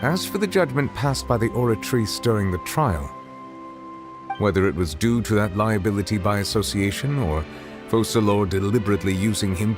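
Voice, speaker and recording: A man voicing a solemn, dignified dragon who serves as chief judge in a video game, speaking in a formal, measured, grave manner. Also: lowish voice, natural voice. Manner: formal, measured, eloquent, reserved. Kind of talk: video game character dialogue. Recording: game dialogue over background music.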